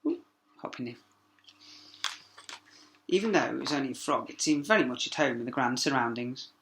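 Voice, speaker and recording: A man's voice: mid-range voice, expressive, moderate pace, a bit bright, a little hiss or hum. A man narrating a children's story expressively as a teacher, with small asides to young listeners.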